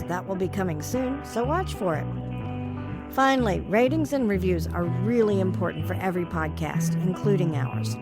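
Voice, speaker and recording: Woman announcer over guitar music. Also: medium pitch. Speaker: podcast creator and announcer. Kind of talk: scripted closing announcements.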